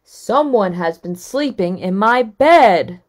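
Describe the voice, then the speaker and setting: A woman voicing a big, grumbling father bear in a storybook read-aloud, speaking loud and slow. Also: mid-range voice, lively intonation. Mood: gruff.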